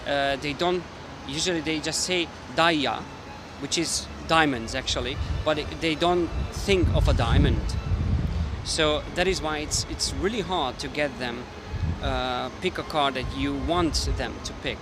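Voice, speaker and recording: A man's voice: a little high, measured pace. A man with a Hungarian accent, a mentalist explaining his card tricks casually to the camera while thinking aloud. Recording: street noise with passing traffic.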